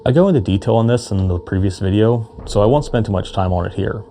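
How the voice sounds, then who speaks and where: Low-pitched man explaining a build. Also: fast talker, dark tone, soft background music. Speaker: cosplay builder presenting a build series. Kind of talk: casual tutorial narration with comedic skits.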